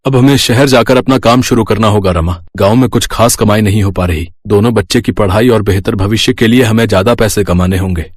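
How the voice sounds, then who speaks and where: Poor village food-seller husband played by a man, plain and earnest, animated moral tale. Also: on the low side, a little fast, few pauses, dry recording, clean studio sound, natural voice. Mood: worried, earnest. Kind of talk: scripted story dialogue.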